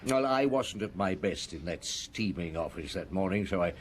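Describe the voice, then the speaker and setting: An actor playing a shrewd, grumbling middle-aged police detective in an audio drama, musing and questioning in a dry manner. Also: male voice, low-pitched, animated, normal speed, a little hiss or hum. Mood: wry, musing. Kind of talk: audio drama acting with narration.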